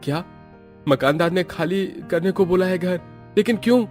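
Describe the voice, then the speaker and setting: A man's voice: high voice, moderate pace, a little mellow, faint music behind, lightly acted. A man voicing an irritable, hard-pressed labourer husband in a cartoon story, exasperated and complaining. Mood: shocked. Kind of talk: Hindi cartoon story acting.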